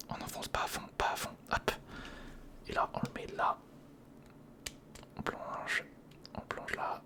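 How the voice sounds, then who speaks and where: Whispering man, ASMR build commentary. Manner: murmurs to himself while thinking through steps; informal, chummy address to the viewer. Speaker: ASMR video host.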